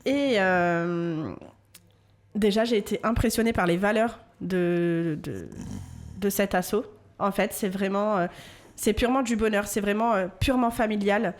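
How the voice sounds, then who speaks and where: A woman's voice: medium pitch, measured pace. Hesitant woman co-host, searching for words. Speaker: podcast host and journalist. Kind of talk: casual radio chat. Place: radio studio.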